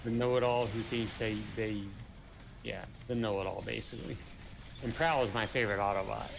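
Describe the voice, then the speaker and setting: A man in relaxed co-op gameplay chat, agreeable and easygoing, giving short replies. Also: fairly low pitch, brisk, gravelly, background noise. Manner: laid-back, agreeable, short replies and laughs. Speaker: co-op game player.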